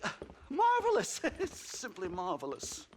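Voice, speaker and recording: Here is a drug-addled, pompous scholar from a western game. His voice is very high and husky, leaping around wildly in pitch. He stammers and gushes with affected, condescending enthusiasm. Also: wildly swooping pitch, unhurried, heavily acted. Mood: giddy, self-satisfied. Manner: stammering, effusive, giggly, affected. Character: eccentric, pompous, cocaine-addled old-west male scholar, excitable and condescending. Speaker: professor. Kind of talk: theatrical video game character acting.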